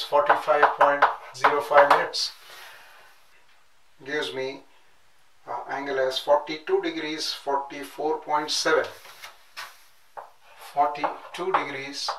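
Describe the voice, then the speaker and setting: A middle-aged man teaching at a chalkboard, working through calculations step by step and repeating numbers slowly as he writes. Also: a little high, fairly bright tone, far from the mic. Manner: methodical, repeats numbers slowly while writing. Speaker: nautical science instructor. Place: classroom at a chalkboard. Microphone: room mic at a distance, back often turned. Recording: echoey room, off-mic, clear noise.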